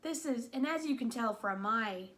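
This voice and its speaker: A woman's voice: fairly high pitch, expressive, moderate pace, a bit bright. Chatty wry woman reviewing a movie. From New England. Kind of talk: casual video review.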